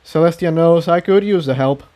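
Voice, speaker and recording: Man voicing a friendly, high-voiced unicorn stallion. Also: moderate pace, warm tone, natural voice. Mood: cheerful. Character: friendly, busy male unicorn stallion, welcoming.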